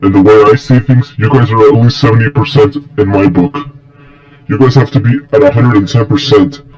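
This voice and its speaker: A man voicing a stern, confident dark-lord mentor in an amateur fan story, coaching in a blunt, matter-of-fact way. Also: on the low side, a little fast, over music, close to an everyday voice, rough recording.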